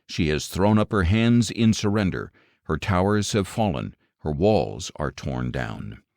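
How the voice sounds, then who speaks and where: A man narrating scripture as an audiobook in a solemn, dramatic style, measured and emphatic, with phrases that fall away at the end. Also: fairly low pitch, a little mellow, dry recording, clean studio sound.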